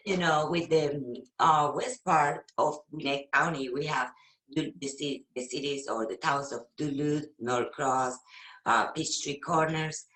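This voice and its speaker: A Venezuelan woman, a real estate agent whose first language is Spanish, talking casually in an interview with many fillers and pauses. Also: low voice, a bit bright, roomy sound, poor audio. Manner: hesitant, with frequent fillers and laughs.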